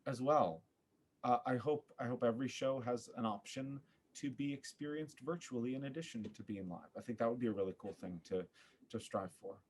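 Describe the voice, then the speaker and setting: A man giving a thoughtful interview as a podcast guest, searching for his words with an earnest, halting manner. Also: mid-range voice, darkish tone, a little hiss or hum. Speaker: podcast guest, theater artist.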